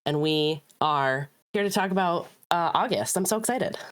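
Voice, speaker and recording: Hoarse, low-voiced woman podcast host. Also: moderate pace. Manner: upbeat, chatty, excitable. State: slightly rough, hoarse voice from recent illness. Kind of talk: casual chat.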